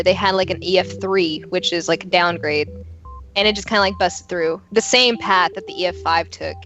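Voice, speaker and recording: A young woman from Oklahoma talks on a podcast in a loose, rambling way, full of fillers. Her voice sits at a middling pitch. The audio is slightly dull and lo-fi, with faint music underneath. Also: a bit bright. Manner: chatty, rambling, many fillers. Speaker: podcast co-host. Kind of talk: casual podcast chat.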